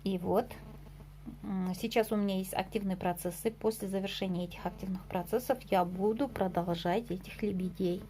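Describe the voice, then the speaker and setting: A woman's voice: mid-range voice, steady tone, normal speed, dark tone. Chatty woman vlogging her embroidery. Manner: chatty, hesitant, self-deprecating, with fillers and small laughs. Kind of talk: casual show-and-tell vlog.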